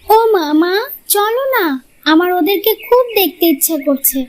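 A very high, childlike voice of a small village girl in a cartoon fairy tale. She is sweet and earnest, pleading gently with her elders. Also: expressive, normal speed. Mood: pleading, hopeful. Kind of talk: Bengali moral-tale cartoon dubbing.

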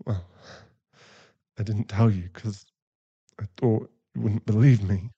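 A man playing a distraught, guilt-ridden werewolf boyfriend in an intimate ASMR roleplay, halting and pleading. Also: on the low side, fairly even intonation, mellow, breathy edge, muffled recording, full character voice. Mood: sad, ashamed. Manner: halting, pleading, emotionally fragile.

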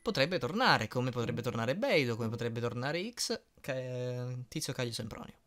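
A man's voice: mid-range voice, animated, a little slow, fairly bright tone. A man in his early twenties, chatting casually as he reads and answers questions. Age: early 20s. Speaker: YouTube fandubber answering fan questions. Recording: music playing behind. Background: music.

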